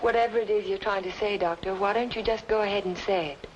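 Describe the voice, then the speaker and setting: A woman's voice: medium pitch, fairly even intonation, quick pace, highs cut off, lightly acted. A troubled young woman played by an actress speaks in a noir radio play. She is courteous and searching, acting in old-time radio style. The recording is plainly noisy and aged. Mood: worried, doubting. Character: polite, troubled young woman questioning those around her.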